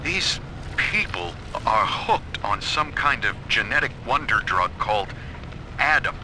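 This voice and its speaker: A man's voice: mid-range voice, sing-song, unhurried, background noise. An actor playing a desperate, haunted father searching for his child, speaking tensely in a game audio diary. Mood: shaken. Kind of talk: dramatic audio diary acting.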